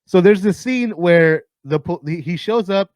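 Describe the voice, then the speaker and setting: A man with a raspy, tired voice that sits fairly high for a man, talking through a film countdown in a loose, rambling way. He swears freely and keeps restarting his sentences. The sound is dry and close, with no room echo. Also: unhurried, darkish tone. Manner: profane, rambling, lots of restarts and fillers. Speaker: host of a movie countdown. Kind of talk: casual rambling film talk.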